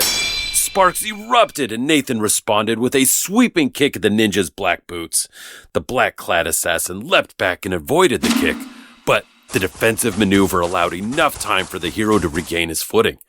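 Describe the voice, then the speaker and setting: A man's voice: fairly low pitch, lively intonation, normal speed, background noise. A man narrating a scripted story in a dramatic storytelling style.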